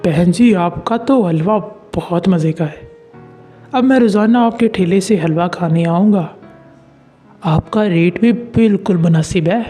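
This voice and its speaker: A man voicing working men in a story, with a husky voice and scripted dialogue. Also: sits high, unhurried, warm tone, faint music behind. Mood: friendly, approving. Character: several story men: labourer, boss, husband, officer.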